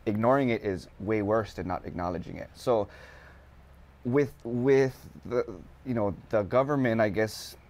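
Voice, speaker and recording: A man's voice: lowish voice, a little slow, a little mellow. A man, a talk show host speaking casually and expressively and mixing in words. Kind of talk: conversational interview. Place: television studio. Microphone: lapel mic.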